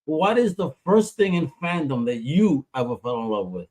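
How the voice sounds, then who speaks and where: A man hosting a podcast in a hype, energetic, slangy way. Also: on the high side, moderate pace, rough recording. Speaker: podcast host. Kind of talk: casual chat.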